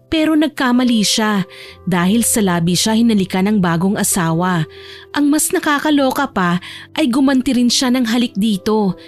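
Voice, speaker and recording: A woman's voice: mid-range voice, darkish tone. A woman narrator reading a scripted romance story in audiobook style. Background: faint music.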